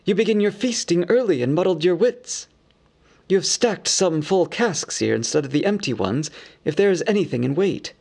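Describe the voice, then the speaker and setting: A man's voice: high voice, expressive, normal speed, gravelly, darkish tone, pitched above the performer's own voice, exaggerated voice. A man voicing a band of merry, teasing young elves in a raised, jeering voice. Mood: mocking.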